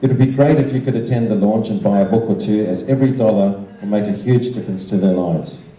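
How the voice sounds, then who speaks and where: An older man giving a measured, formal prepared speech to an evening gathering. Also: fairly low pitch, dark tone. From Zimbabwe. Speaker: guest speaker, art teacher and children's author. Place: large indoor function room. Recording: roomy, echoing hall sound, distant microphone.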